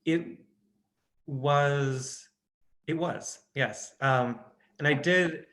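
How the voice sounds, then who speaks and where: A man's voice: fairly high pitch, drawn-out words, a bit bright. A man with a Midwestern American accent, an audiobook narrator chatting in an interview, hesitant with many restarts and fillers. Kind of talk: casual interview chat.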